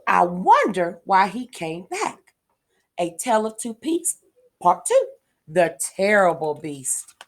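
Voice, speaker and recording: A woman reading a children's picture book aloud in an expressive, deliberate, dramatic storytelling manner. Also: mid-range voice.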